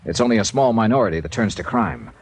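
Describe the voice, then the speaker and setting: A man's voice: on the low side, lively intonation, fast, slightly rough. An actor playing a blunt, hard-boiled male insurance investigator in an old radio drama, pressing questions and narrating. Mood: stern. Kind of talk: 1950s radio drama acting.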